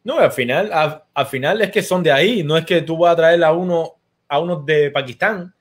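A chatty man in his thirties with a Cuban accent hosts a casual baseball talk show. His voice sits a touch high, and he talks at a loose, friendly clip. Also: normal speed. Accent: Cuban Spanish. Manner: chatty, sends greetings to viewers. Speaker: host.

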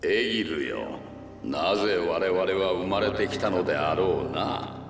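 A gentle, hopeful old giant in a game flashback. The low, stately voice rings with echo from a distance, over clear music. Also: male voice, lively intonation. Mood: contemplative, hopeful. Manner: stately, contemplative, measured. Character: wise, gentle, hopeful male giant elder. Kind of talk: dramatic video game flashback acting. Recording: echoing, distant flashback effect.

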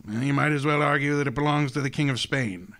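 An actor playing a genteel, scheming older crime boss in a radio drama, speaking in a courtly, grandiloquent, relishing way. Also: male voice, mid-range voice, fast, fairly bright tone, close to an everyday voice. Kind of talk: hardboiled detective radio drama acting.

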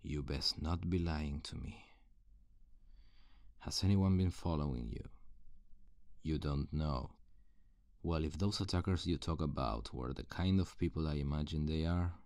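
A man playing a wary, threatening survivor of a zombie apocalypse in an ASMR audio roleplay, gravelly and menacing as he interrogates. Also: very deep voice, unhurried, long pauses, darkish tone, no room sound, clean studio sound. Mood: suspicious. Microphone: close, dry studio-style microphone.

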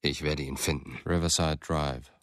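A man's voice: very deep voice, fairly even intonation, normal speed, a bit bright, no room sound, clean studio sound. An actor playing a brooding, obsessive man, giving a grim, controlled, intimate inner monologue in a radio drama. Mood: grim, determined. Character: brooding, obsessive man narrating his dark thoughts.

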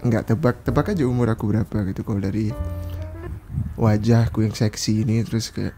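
A man's voice: on the low side, steady tone, fairly quick, dark tone, soft background music. A young man in his twenties from Yogyakarta, chatting playfully and teasing strangers on a video call from his bedroom, laughing often. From Yogyakarta, Indonesia. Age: 20s. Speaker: video chat host. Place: small bedroom with posters. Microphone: webcam video call.

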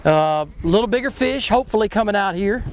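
A middle-aged man chats and exclaims as he fishes from a boat out on open water, his voice riding high and swinging widely. He keeps restarting sentences in easy banter. Wind and engine noise sit clearly under him. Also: quick pace. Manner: excitable, exclaiming, chatty with restarts. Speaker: fishing show host. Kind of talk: casual chat while fishing. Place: open boat offshore, outdoors.

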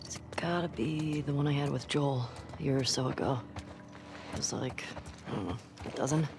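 A young American woman playing a tough, wry survivor in a video game, speaking in a low-key, clipped, dry way. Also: moderate pace, background noise. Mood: guarded, casual. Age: young adult. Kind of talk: naturalistic video game acting. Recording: music behind game dialogue.

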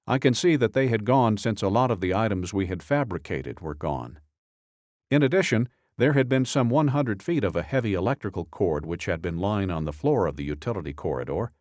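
A man reading a true-crime audiobook as scripted narration, in a measured, deliberate, matter-of-fact way. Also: fairly low pitch, animated, darkish tone, no echo, studio quality.

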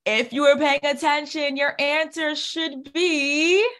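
An American woman in her thirties speaking in an expressive, encouraging teacher manner, as if reading aloud to young children. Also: high voice, slow pace. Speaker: speech pathologist and children's author. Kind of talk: children's read-aloud with questions. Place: small indoor office, on a video call.

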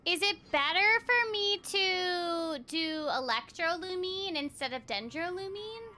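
A woman's voice: very high voice, lively intonation, unhurried, bright, forceful tone, slight background noise. A young adult woman chatting casually on a game livestream, thinking aloud as she plays. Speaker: streamer, voice actor. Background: game music.

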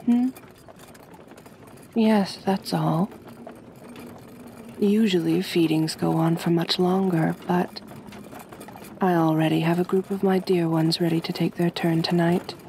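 A woman playing a gentle, doting aristocratic vampire mistress in an ASMR roleplay, speaking softly and breathily with genteel, soothing command. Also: fairly low pitch, fairly even intonation, slow, long pauses, a little hiss or hum. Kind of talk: ASMR roleplay, intimate acting.

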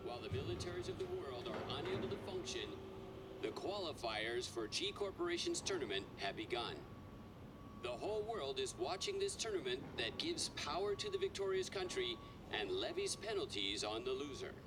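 A man's voice: wildly swooping pitch, slow. A man giving dramatic scripted narration for a game intro. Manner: dramatic, sweeping delivery. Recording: loud rumbling noise behind.

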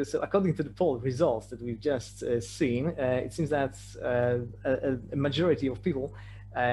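Male presenter, native Polish with Polish accent, mid-pitched, halting lecture delivery, slightly noisy online recording with hum. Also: darkish tone. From Poland. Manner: hesitant, repeats words and stammers over phrase starts. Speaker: conference presenter. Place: remote online presentation with shared screen.

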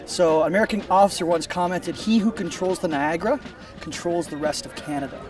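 A man's voice: high-pitched, brisk, recorded from a distance. Middle-aged man explaining outdoors, off-mic. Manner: conversational, with fillers and restarts. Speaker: historical reenactor guide. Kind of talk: casual explanation. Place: outdoor park at an event.

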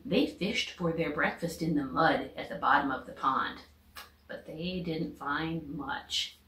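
A middle-aged American woman, a teacher, reading a children's storybook aloud with expressive narration. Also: mid-range voice. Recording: echoey home room recording.

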